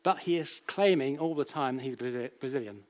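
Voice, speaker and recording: Male British lecturer, mid-range voice, informal explainer style, halting delivery with self-corrections and rhetorical questions. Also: medium pitch. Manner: conversational, checks in with rhetorical questions. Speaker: academic lecturer. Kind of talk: public lecture, explanatory and anecdotal.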